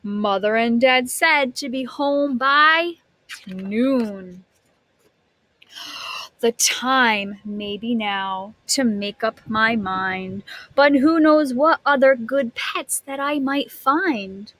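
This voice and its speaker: A woman's voice: a little high, very slow, fairly bright tone, no echo, low-quality recording. A woman teacher reading a picture book aloud to young children, expressively, stretching words and putting questions to her listeners.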